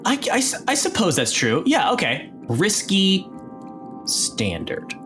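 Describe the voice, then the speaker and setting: Animated man running a tabletop game. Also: high voice, slow pace, over music. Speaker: game master and narrator of an actual play podcast. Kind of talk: casual tabletop game narration.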